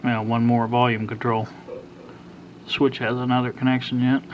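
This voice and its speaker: Older male hobbyist host, sixties, average pitch, unscripted and hesitant, amateur recording with noticeable background noise. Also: little pitch movement, normal speed, lo-fi sound. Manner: hesitant, rambling. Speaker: hobbyist electronics repair host. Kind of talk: casual, unscripted how-to talk. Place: kitchen at home. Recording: clear background noise, amateur home video.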